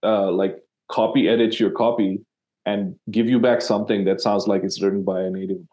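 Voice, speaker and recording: A man's voice: lowish voice, fairly even intonation, moderate pace, warm tone. A man from Sri Lanka, a copywriter guest in an interview, talking casually and rambling with many fillers and restarts.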